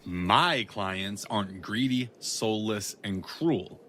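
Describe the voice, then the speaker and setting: Weary male detective, played by an actor, noir audio drama: slightly low voice, wry, blunt, sarcastic. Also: expressive, slow, pushed and bright, slight background noise, full character voice. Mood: scornful. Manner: sardonic, wry.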